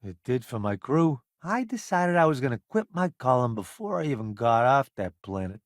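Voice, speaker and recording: An actor playing a wry, self-promoting food columnist turned space crewmate in a video game, breezy and full of sardonic wisecracks. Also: male voice, mid-range voice, big pitch swings, moderate pace, no echo, clean studio sound. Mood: aggrieved.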